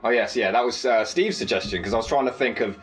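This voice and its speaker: A man's voice: medium pitch, fast talker, soft background music. A man who made and acted in the film, talking casually on a cast commentary. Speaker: filmmaker and actor on a cast commentary.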